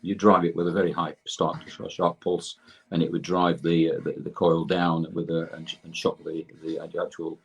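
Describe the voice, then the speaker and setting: An older man explaining technical matters as an interview guest, in a halting way with repeated words. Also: low voice, level tone, breathy edge. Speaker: guest expert and researcher in electrotherapy.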